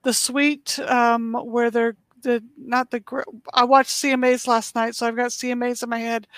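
A woman's voice: on the high side, little pitch movement, normal speed, a bit bright, clean tone, no echo. A middle-aged woman hosting a podcast, chatting casually and bubbly, laughing often. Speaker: podcast host. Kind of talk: casual interview chat.

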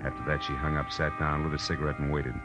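Hard-boiled detective, deep voice, wry, clipped, 1940s radio noir, dull old recording. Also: male voice, little pitch movement, fast, music in the background. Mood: tense, brisk. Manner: wry, clipped tough-guy delivery. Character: hard-boiled male private detective, wry and sardonic, narrating his case. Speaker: lead actor and narrator.

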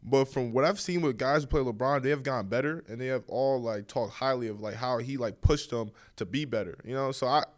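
A man's voice: medium pitch, fairly quick, few pauses. A young man from New York and New Jersey chatting casually and emphatically as a college basketball player being interviewed on a podcast. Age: young adult.

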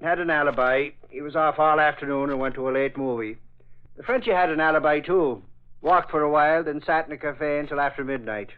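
A man's voice: fairly high pitch, a little fast, close to an everyday voice. An actor playing a chatty, colloquial tough-guy sidekick reporting news offhand in a 1940s radio drama. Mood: offhand, gossipy. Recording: dull vintage radio recording.

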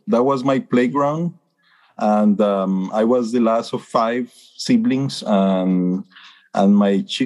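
A man's voice: mid-range voice, darkish tone. Costa Rican man in his fifties. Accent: Costa Rican Spanish. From Costa Rica. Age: 50s. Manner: hesitant, many fillers and restarts, earnest. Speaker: birding guide. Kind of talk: interview answers.

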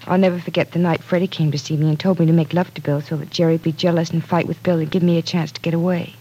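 A woman's voice: deep voice, level tone, fast talker, mellow, husky, poor audio. An actress playing a candid, caring young woman in an old-time radio drama, conversational and reflective. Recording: vintage radio broadcast.

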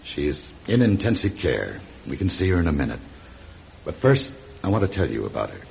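A man playing a calm doctor in a radio drama, measured, reassuring and firm, with gentle authority. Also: sits low, creaky, full character voice. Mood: gentle, careful. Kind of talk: classic radio drama acting. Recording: dull, noisy old radio recording.

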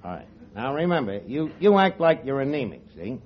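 An actor playing a scheming, wisecracking tavern manager who garbles big words and speaks rough, streetwise grammar, in a 1940s radio comedy. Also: male voice, medium pitch, sing-song, a little fast, slight background noise, close to an everyday voice. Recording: old lo-fi radio transcription, audience laughter.

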